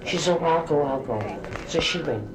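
A woman's voice: sits low, lively intonation, a little fast. A woman tells chatty memories in an oral history recollection, adding "you know" often. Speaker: interviewee. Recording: echoey room with crowd.

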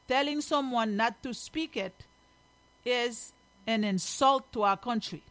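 A woman's voice: a little high, rough recording. A woman from Haiti with a Haitian accent, reading news aloud as a host and commenting on it in an emphatic, opinionated way.

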